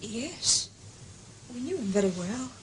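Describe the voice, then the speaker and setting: A woman's voice: a little high, sing-song, slow, a little hiss or hum, poor audio. An actress playing a guarded, uneasy married woman in a radio drama, speaking politely. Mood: anxious.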